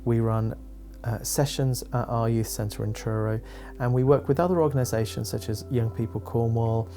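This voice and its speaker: A man's voice: medium pitch, steady tone, normal speed, dark tone, soft background music. A man who works with a youth group, explaining its sessions and activities in an informative way. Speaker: charity youth worker. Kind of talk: explanatory talk.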